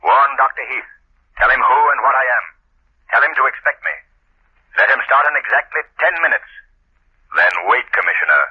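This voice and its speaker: An actor playing a mysterious unseen male vigilante in a 1930s radio drama, speaking low and slow in a husky, menacing voice. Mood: commanding. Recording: tinny old radio broadcast with hiss.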